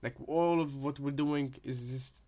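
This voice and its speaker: A man's voice: medium pitch, fairly even intonation, a little slow, mellow. Rambling male reactor, casual commentary. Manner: rambling, repeats and reworks phrases. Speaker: reaction video host.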